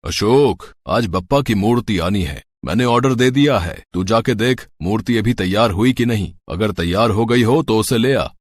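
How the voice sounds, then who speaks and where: A man's voice: fairly low pitch, animated, moderate pace, few pauses, no room sound. Stern, bossy rich master voiced by a man. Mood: commanding. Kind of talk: cartoon moral story dialogue.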